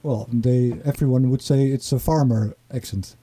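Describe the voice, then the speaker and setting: Halting Dutch-accented man, dark voice. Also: mid-range voice, slightly rough. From east of the Netherlands. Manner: hesitant, halting with many fillers. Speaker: guest. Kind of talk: casual conversation.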